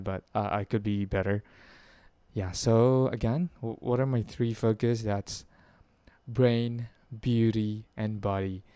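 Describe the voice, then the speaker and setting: A man in his twenties from the Philippines rambles through a personal update, pausing often and correcting himself. His voice sits a little low and comes across very clean. It is recorded close and dry, with no room sound. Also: warm tone, clear, resonant tone, no echo. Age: 20s. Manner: hesitant, full of fillers and self-corrections. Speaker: vlogger. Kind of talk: rambling personal chat to camera.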